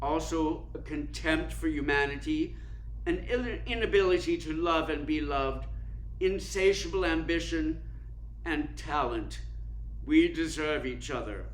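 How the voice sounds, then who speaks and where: An actor playing a suave, cynical and domineering theater critic in a radio play, speaking in a cold, precise, imperious way. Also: male voice, fairly high pitch, measured pace, close to an everyday voice. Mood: controlling, smug. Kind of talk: dramatic radio play acting. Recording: roomy echo with clear background noise.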